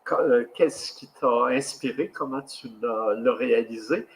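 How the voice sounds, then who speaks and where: A man's voice: mid-range voice, a little slow, gravelly, slight background noise, rough recording. A man co-hosting a podcast, speaking casually with many hesitations. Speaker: podcast co-host.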